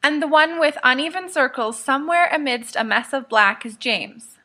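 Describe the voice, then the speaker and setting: A woman's voice: high voice, moderate pace, sharp tone. A woman reading scripted fiction aloud as an audiobook narrator. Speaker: podfic reader, narrator.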